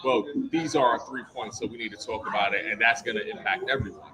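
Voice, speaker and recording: A bilingual man co-hosting a live political discussion, talking in a lively, conversational way. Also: on the low side, quick pace, heavy background noise. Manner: animated, conversational. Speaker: co-host.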